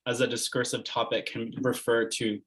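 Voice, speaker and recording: A man's voice: mid-range voice, flat delivery, normal speed, fairly bright tone. Man on a video call asking a long, hesitant question at a lecture Q&A, full of ums and restarts. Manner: hesitant, many fillers and restarts. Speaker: seminar attendee asking a question. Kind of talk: online lecture Q&A.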